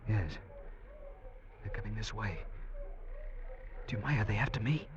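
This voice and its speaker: An actor playing a bewildered, frightened convict in old radio drama, speaking with hushed, urgent pleading. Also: male voice, on the low side, animated, a little slow. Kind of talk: 1940s-50s radio acting. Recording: muffled, faintly noisy old radio broadcast.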